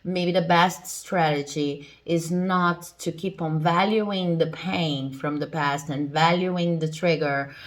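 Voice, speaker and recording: Low-voiced woman reading tarot. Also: steady tone, slow pace. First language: Portuguese. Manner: reassuring, explanatory. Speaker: tarot reader. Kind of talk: pick-a-card tarot reading.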